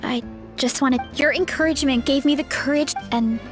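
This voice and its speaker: A woman voicing a sweet, earnest young heroine in a video game, with a gentle, modest manner. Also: high-pitched, normal speed, lightly acted. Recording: game music behind. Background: music.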